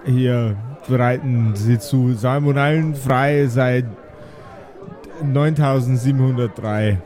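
A man playing a courteous, matter-of-fact cook with metal limbs, formal and measured, in a tabletop audio game. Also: mid-range voice, fairly even intonation, dark tone, some chatter behind, natural voice.

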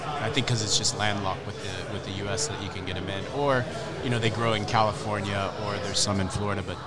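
A man who founded a spirits brand speaks casually in an interview, halting often with fillers and restarts. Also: fairly low pitch, steady tone. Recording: outdoor crowd noise.

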